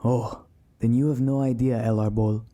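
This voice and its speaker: An actor playing a brash, resentful young villain luchador in an audio drama, cocky and sneering. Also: male voice, medium pitch, steady tone, moderate pace, dark tone, lightly acted. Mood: bitter, scornful. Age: young adult.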